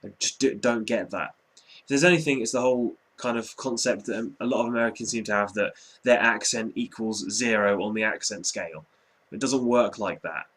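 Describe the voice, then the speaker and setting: A man's voice: lowish voice, moderate pace, fairly bright tone. A young man in his twenties from Norwich with a mild general English accent, casually answering viewer questions in a rambling, chatty vlog. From Norwich, England. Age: 20s. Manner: chatty, rambling, hedging with "quite" and "um". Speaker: vlogger.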